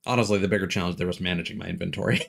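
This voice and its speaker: A man's voice: sits low, fairly even intonation, very fast, vocal fry. A man streaming a video game with casual, reactive commentary, thinking aloud and swearing freely. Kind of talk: casual live gameplay commentary.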